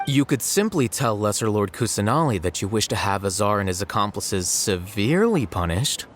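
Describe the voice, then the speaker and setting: A man's voice: on the low side, very animated, moderate pace, slight background noise. An actor playing an aloof, dryly witty male scholar in a video game, precise and articulate. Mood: cool, dry. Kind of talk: video game character acting. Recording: music behind.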